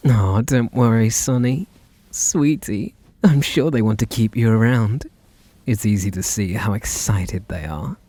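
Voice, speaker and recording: A man's voice: fairly low pitch, unhurried, mellow, dry recording. A man voicing a teasing, obsessively devoted butler in an intimate ASMR roleplay, full of sugary endearments. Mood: teasing, sultry.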